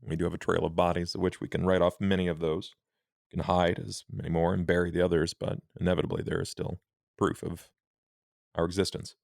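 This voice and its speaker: A man playing a courtly, world-weary vampire in a tabletop roleplay, speaking gravely in formal, deliberate, ornate phrases. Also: deep voice, little pitch movement, dry recording, studio quality. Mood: measured, scheming. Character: measured, scheming male vampire named Duke, courtly and world-weary.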